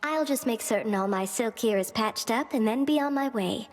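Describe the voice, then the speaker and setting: A woman's voice: a little high, normal speed, natural voice. Woman voicing a genteel little spider weaver, reverberant. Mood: friendly. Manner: polite, genteel, chatty. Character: friendly, chatty little female spider weaver. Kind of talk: video game voice acting. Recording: long reverberant hall effect.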